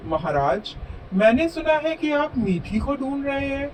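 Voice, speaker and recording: Man playing a slow-talking clever village man. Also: extremely high pitch, sing-song, recorded from a distance, slight background noise, heavily acted, poor audio. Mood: courteous, sly. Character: clever, calm, polite village man in a fable.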